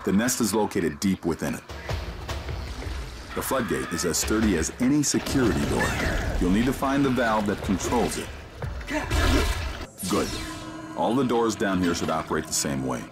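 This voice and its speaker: An actor playing a mysterious male handler who guides an agent by radio, calm, commanding and instructive. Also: deep voice, moderate pace, pauses often. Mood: steady guidance. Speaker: mission guide in a video game. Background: game score music.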